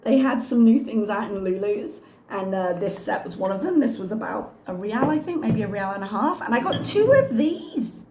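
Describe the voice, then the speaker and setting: Hoarse woman, casual chat, wry, self-mocking, echoey room, faint hiss. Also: medium pitch, animated, moderate pace, hardly any pauses, dark tone. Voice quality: slightly strained. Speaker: reaction channel host.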